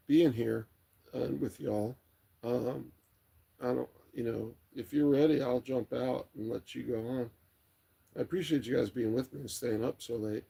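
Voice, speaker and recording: A middle-aged man with a raspy, tired late-night voice, hosting a livestream in a rambling, relaxed way as he chats and reads comments aloud. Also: mid-range voice. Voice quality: raspy, gravelly. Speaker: livestream host. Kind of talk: casual chat, reading viewer comments aloud.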